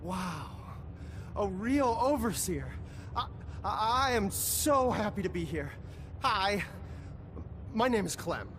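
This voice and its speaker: An actor playing a naive, eager-to-please wasteland settler in a video game, stammering and earnest. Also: male voice, sits high, sing-song, takes it slowly, long pauses, fairly bright tone, slight background noise, full character voice. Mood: eager, nervous. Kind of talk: video game character dialogue.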